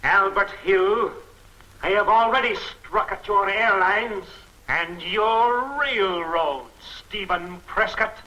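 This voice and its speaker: Casting: menacing serial villain, high piercing voice, deliberate commanding threats, vintage 1940s film sound. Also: male voice, pushed and bright, heavily acted, rough recording. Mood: cold menace. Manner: slow, theatrical, commanding delivery. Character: menacing invisible criminal mastermind issuing threats to businessmen.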